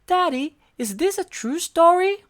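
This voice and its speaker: A woman's voice: extremely high pitch, animated, measured pace, pitched above the performer's own voice. A woman voicing a sweet, curious little monster child who talks about himself in the third person. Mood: puzzled. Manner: speaks of self in third person.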